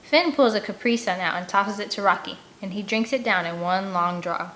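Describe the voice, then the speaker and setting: A woman narrates a children's novel in the first person with an expressive storytelling delivery. Her voice sits at a middle pitch. There is faint ambient noise in the background. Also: normal speed. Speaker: audiobook reader.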